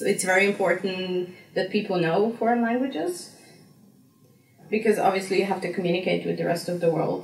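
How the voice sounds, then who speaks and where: A woman's voice: on the low side, creaky, slight background noise. Young Slovenian woman, echoey distant sound. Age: young adult. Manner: chatty, frequent fillers and restarts, laughs easily. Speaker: interviewee, graduate student. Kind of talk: casual interview answers. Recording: echoey room, mic far off.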